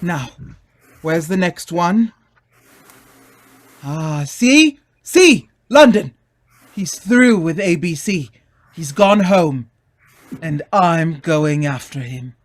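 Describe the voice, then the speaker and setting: An earnest gentleman sleuth played by a male actor, speaking deliberately and slowly. The delivery is theatrical and exclamatory, in the style of old radio drama. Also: on the high side, animated. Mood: urgent, resolute. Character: earnest, take-charge British gentleman amateur sleuth.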